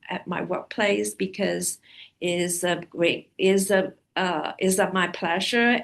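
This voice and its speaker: A woman who is not a native English speaker talks at an unhurried, slow pace. She pauses often with fillers and restarts as she describes her program in a webinar panel. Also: lowish voice, vocal fry. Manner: halting, many fillers and restarts. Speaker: adult ESL program administrator.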